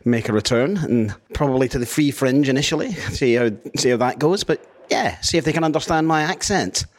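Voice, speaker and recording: A man's voice: medium pitch, animated, brisk. Wry man, Scottish-Australian accent. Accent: Scottish-Australian hybrid. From Scotland. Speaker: guest, new comedian. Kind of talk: casual interview chat.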